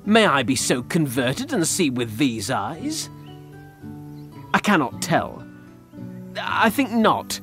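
A man playing a witty, scornful Shakespearean gentleman in a mocking, theatrical classical monologue. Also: high voice, voice pushed up, full character voice.

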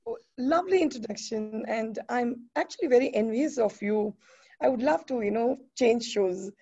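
A woman moderating an online panel, effusive and a little halting, heard over a video call. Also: on the high side, animated, vocal fry, husky. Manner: warm, effusive, halting with fillers. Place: indoor room. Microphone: laptop webcam on video call. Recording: muffled video call audio.

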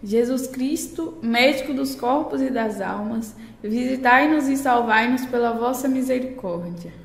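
A woman's voice: a little high, a little slow, gravelly. A woman reading liturgical intercessions as a prayer leader. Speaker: prayer reader. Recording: echoey hall with long reverb.

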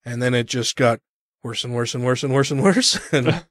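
A man's voice: mid-range voice, normal speed, no echo, clean studio sound. A man hosting a podcast, chatting casually and swearing freely while congested and recovering from an illness. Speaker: podcast host. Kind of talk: casual chat.

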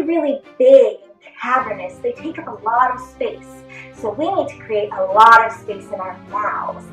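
A woman in her thirties giving an encouraging, animated lesson for children as a theatre performer. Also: fairly high pitch. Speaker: theatre performer teaching character voices. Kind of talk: instructional video for children. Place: small indoor room. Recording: echoey room, faint music.

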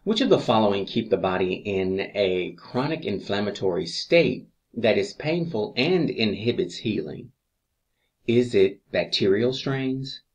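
Male instructor for exam review narration: fairly deep voice, very unhurried, measured reading of questions and options. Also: darkish tone, muffled recording. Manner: measured, deliberate reading with pauses between answer choices.

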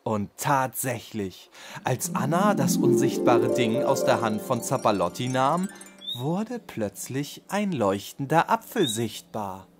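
A man narrating a children's bedtime audio story in a gentle storytelling manner. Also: mid-range voice, expressive, slow pace, over loud music.

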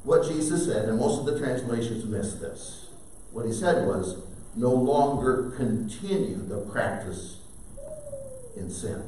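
An older man preaching a sermon from a pulpit, animated, with sudden loud bursts. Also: mid-range voice, unhurried, slight background noise. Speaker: pastor. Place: church sanctuary. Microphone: far camera, preaching at a wooden pulpit. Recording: very echoey sanctuary heard from a distance.

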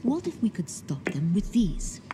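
An actress playing a poised, calculating secret organiser in a video game, speaking in a composed, formal and persuasive way. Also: female voice, medium pitch, big pitch swings, measured pace, whispered. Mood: grave, persuasive. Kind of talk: video game cutscene voice acting. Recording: reverberant hall, faint background noise.

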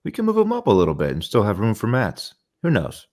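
A young man co-hosting a casual podcast from his living room, upbeat and chummy, often clearing his throat. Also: lowish voice, wildly swooping pitch, quick pace, darkish tone. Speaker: podcast co-host. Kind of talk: casual chat. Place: home living room, indoors.

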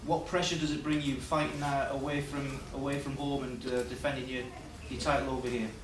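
A man's voice: medium pitch, flat delivery, fairly quick, recorded from a distance. A man asking questions as a reporter at a press conference. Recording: echoey room, off-mic, clear background noise.

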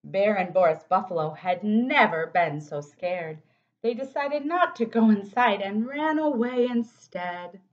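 An American woman in her thirties reads a children's story aloud. Her delivery is expressive and takes its time, at an average pitch for a woman. She is recorded on a computer microphone that sounds a bit dull. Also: measured pace. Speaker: city council member reading to children. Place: home office, indoors. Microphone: computer microphone at desk distance. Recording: slightly dull webcam audio.

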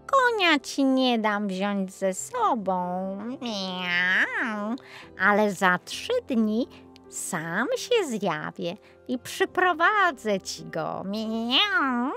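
A woman's voice: medium pitch, very animated, very slow, sharp tone, soft background music, exaggerated voice. Woman voicing a small meowing magical cat. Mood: calm, decisive. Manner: punctuates speech with meows.

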